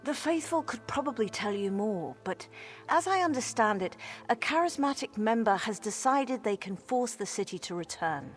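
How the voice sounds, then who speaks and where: A woman's voice: a little high, expressive, normal speed, lightly acted. Woman playing a wry field mage over music. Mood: weary, concerned. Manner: wry, exasperated, articulate explaining. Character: exasperated, wry female field mage and scholar. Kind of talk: conversational video game dialogue.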